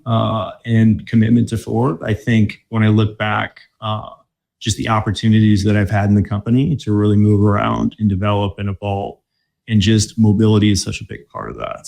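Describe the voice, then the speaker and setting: Man from Michigan, gravelly low voice, casual interview guest, easygoing with fillers. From Michigan, USA. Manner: relaxed, many fillers and restarts. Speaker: guest, corporate mobility director.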